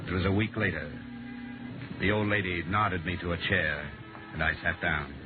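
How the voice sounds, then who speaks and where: A man's voice: sits low, moderate pace, natural voice. An actor playing a world-weary male insurance investigator, narrating his case in clipped, matter-of-fact 1950s radio drama style. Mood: dry, world-weary. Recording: muffled vintage radio recording, music behind.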